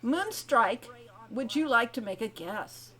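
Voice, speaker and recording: A woman's voice: fairly high pitch, lively intonation, takes it slowly, bright, forceful tone. A woman co-hosting a casual livestream game show, chatting as she runs the contests.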